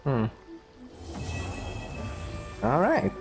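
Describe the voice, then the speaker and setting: A woman's voice: extremely low pitch, wildly swooping pitch, extremely slow, music in the background. A woman gamer in a casual playthrough, reading out dialogue and thinking aloud. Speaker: gamer playing through a story quest.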